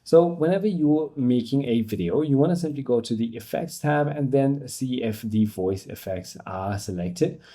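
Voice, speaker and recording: A man's voice: mid-range voice, animated, normal speed, few pauses, dark tone. A man narrating a how-to tutorial as its host, in an instructional, step-by-step manner.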